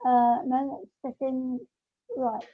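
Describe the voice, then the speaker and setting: A woman's voice: high-pitched, dry recording. A woman hosting a podcast in casual, chatty, rambling talk over an online call. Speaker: podcast host. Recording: dull lo-fi online call audio.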